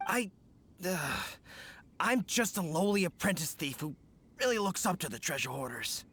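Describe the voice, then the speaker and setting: A man's voice: sits high, big pitch swings, normal speed, a bit bright, husky, heavily acted. A man voicing a dim but eager young apprentice thief in a video game, stammering and folksy. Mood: flustered. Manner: hesitant, stammering, folksy slangy speech. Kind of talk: English video game voice acting. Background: game music.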